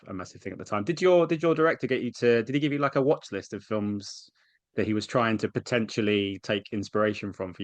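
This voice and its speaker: A man's voice: lowish voice, no room sound. A man interviewing an actress, asking long rambling questions with many restarts. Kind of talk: press junket interview.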